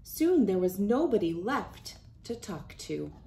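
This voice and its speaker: A woman in her thirties reading a children's storybook aloud with expressive, deliberate delivery. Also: mid-range voice, vocal fry. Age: 30s. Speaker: children's book reader. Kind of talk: read-aloud storybook narration. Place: small wood-panelled room indoors.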